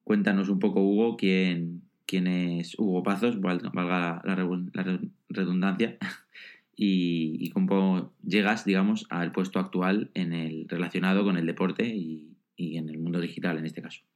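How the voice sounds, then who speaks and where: Low-voiced guy hosting a podcast, friendly, kinda stumbles and restarts a lot. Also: steady tone, unhurried, darkish tone. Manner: friendly, hesitant, many restarts. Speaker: podcast host. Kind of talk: casual interview hosting.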